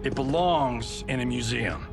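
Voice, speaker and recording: An actor playing a brash, vain middle-aged action movie star in a cinematic video game, with a cocky, showy delivery. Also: male voice, mid-range voice, unhurried, gravelly, loud music behind the voice. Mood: cocky, commanding.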